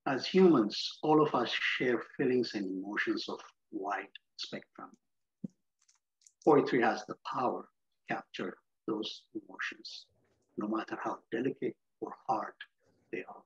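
An Ethiopian man whose first language is Amharic speaks over a video call, his voice husky and a little breathy. He talks in a halting way, pausing often with fillers. The call audio sounds dull and muffled. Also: on the low side. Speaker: poet. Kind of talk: speaking at an online poetry event.

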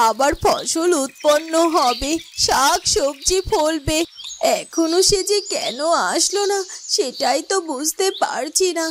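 Woman as a plaintive, high-voiced village wife. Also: noisy background, exaggerated voice. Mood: worried, longing. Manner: plaintive, lamenting. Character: distressed, devoted village wife with a starving husband. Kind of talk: Bengali fairytale cartoon monologue.

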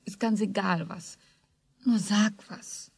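An actress playing an excitable, concerned working mother in a radio play. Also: mid-range voice, slow pace, gravelly, breathy edge, no echo, full character voice. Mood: anxious, pleading.

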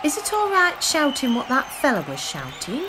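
A woman's voice: high voice, wildly swooping pitch, moderate pace, noisy background. A woman playing a naive, curious newcomer at a football match in a radio play, innocent and questioning. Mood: puzzled.

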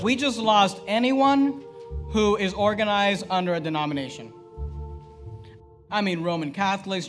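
A high-pitched man in his thirties preaches from the pulpit into a podium mic. His delivery is emphatic and full of rhetorical questions, rising loud and then dropping to a hush, with faint music running underneath. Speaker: pastor. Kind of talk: fiery sermon. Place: church sanctuary at a pulpit.

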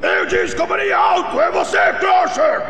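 A man's voice: very high-pitched, moderate pace, shouting, a crowd in the background. A man voicing a blustering military crocodile general in a cartoon dub, barking like a drill sergeant. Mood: barking, commanding. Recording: distant, long cavern echo, music behind.

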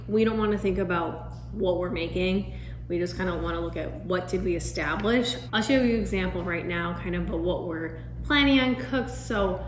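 A woman's voice: medium pitch, echoey room, a little hiss or hum. A young woman in her twenties chatting to camera, explaining her meal prep steps casually and restarting sentences as she goes. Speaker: vlogger giving meal prep tips. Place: indoor room, seated at a wooden table. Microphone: camera across the table.

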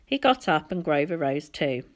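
A woman reading a children's adventure story aloud as expressive scripted narration. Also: low-pitched, moderate pace. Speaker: audiobook reader.

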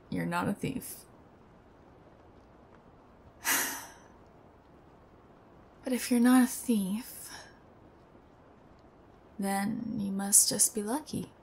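A strange, sweet young thief girl, played by a woman, guarded and shy in an ASMR roleplay. She speaks slowly and hesitantly in a soft, breathy voice of middling pitch. Faint noise sits behind her. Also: full character voice. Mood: teasing. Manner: hesitant, soft-spoken, often breathy and near whispering.

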